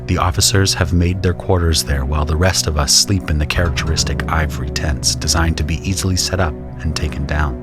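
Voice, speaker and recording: Deep-voiced man narrating an audio drama. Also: steady tone, a little fast, few pauses, over loud music. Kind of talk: scripted first-person audio drama narration.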